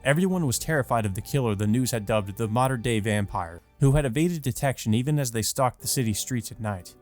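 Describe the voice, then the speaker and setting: A man's voice: mid-range voice, lively intonation, a little fast, darkish tone. A man reading first-person visual novel narration aloud as a let's player. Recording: music behind the voice. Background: music.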